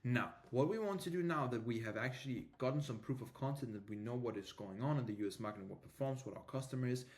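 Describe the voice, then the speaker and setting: A man's voice: medium pitch, fast, few pauses. A man giving an informal tutorial as a marketing coach, explaining things with frequent filler phrases and repetition. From Denmark. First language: Danish.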